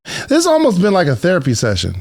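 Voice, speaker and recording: A man's voice: high voice, wildly swooping pitch, quick pace, darkish tone, dry recording. Casual male podcast host, dry close sound. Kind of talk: casual conversational interviewing.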